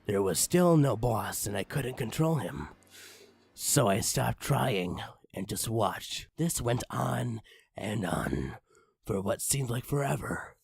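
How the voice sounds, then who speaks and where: A man narrating a comic horror story in a theatrical, mock-ominous manner with drawn-out phrases and a raspy voice. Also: mid-range voice, expressive, no room sound, studio quality. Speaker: creepypasta reader.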